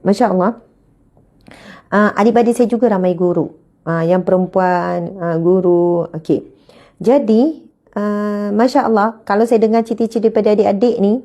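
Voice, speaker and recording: A woman's voice: medium pitch, warm tone. Chatty Malaysian woman religious teacher. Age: 30s. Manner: chatty, with frequent religious exclamations. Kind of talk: religious talk.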